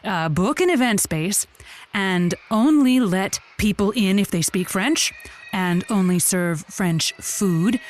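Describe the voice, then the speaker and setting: A woman's voice: medium pitch, animated, takes it slowly, slight background noise. A woman playing an upbeat, encouraging advice host on an improvised comedy call-in show. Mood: enthusiastic, whimsical. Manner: effusive, encouraging.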